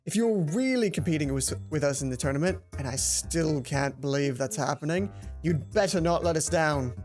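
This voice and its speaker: A woman voicing an intense, bossy schoolboy gamer who lectures forcefully like a drill instructor in a visual novel. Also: low-pitched, lively intonation, moderate pace, faint music behind, voice pushed down. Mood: stern. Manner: forceful, commanding, emphatic.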